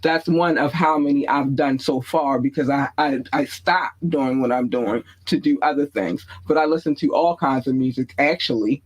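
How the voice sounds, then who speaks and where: A man's voice: medium pitch, normal speed, hardly any pauses. A man with a Baltimore accent hosting a podcast in casual, blunt talk with some swearing, on a lo-fi recording. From born in North Carolina, raised in Baltimore. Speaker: podcast host. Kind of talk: casual chat. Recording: dull lo-fi sound.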